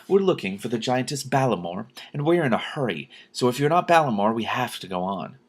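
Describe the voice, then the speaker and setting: A man voicing a brisk, practical storybook princess who sounds matter-of-fact and decisive. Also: medium pitch, very animated, breathy edge, pitched above the performer's own voice. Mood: confident.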